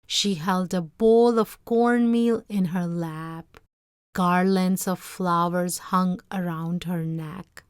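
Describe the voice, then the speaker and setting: Woman storyteller, slow pace, medium pitch, dramatic measured narration, very dry recording. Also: steady tone, smooth, no echo. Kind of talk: expressive scripted storybook narration.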